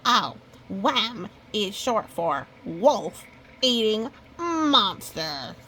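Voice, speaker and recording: A woman's voice: mid-range voice, big pitch swings, extremely slow, bright, forceful tone, slight background noise, exaggerated voice. A woman voicing a small male goose from a storybook, earnest and emphatic as he spins a tall tale. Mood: ominous storytelling.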